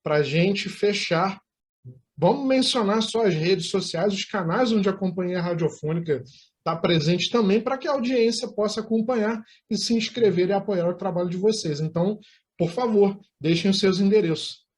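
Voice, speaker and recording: A man's voice: high voice, normal speed. A man with a Brazilian accent hosting an interview in formal, florid phrasing. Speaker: interview host.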